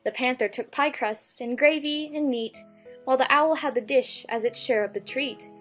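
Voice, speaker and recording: Brief: woman playing a polite eager young girl, high bright voice. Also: normal speed, faint music behind. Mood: timid recital. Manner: eager and polite, timid when reciting.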